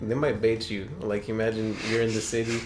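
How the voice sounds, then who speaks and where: Low-voiced man over loud guitar music. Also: monotone, a little fast. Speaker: co-host. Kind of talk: casual chat and reading aloud with commentary.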